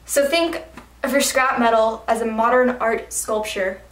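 A woman reciting slam poetry in a dramatic, deliberate, rhythmic delivery. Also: on the high side, steady tone, fairly bright tone. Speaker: slam poet. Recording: echoey room, distant mic.

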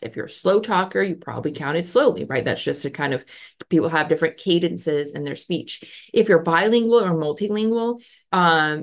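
A woman in her thirties explaining a psychology study in a casual lecture over a video call, talking with many fillers and hand gestures. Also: on the low side. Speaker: educator explaining research. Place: home office with bookshelf. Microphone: webcam on a video call.

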